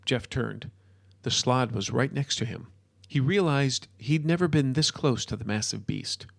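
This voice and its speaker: Creaky-voiced male story narrator. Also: medium pitch, a little slow. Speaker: audiobook narrator.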